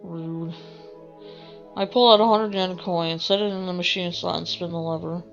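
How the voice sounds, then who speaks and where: A woman's voice: on the low side, fairly even intonation, normal speed, creaky, highs cut off. A woman streaming a game, reading a visual novel aloud with informal, rambling asides. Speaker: gaming streamer. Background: game music.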